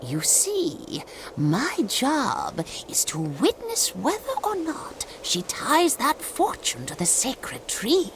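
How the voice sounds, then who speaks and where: An actress voicing a cryptic, solemn old woman fortune-teller in a game cutscene, speaking in a hushed, measured way. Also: high-pitched, wildly swooping pitch, background noise, full character voice. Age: older. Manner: slow, measured, mysterious. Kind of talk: video game cutscene dubbing.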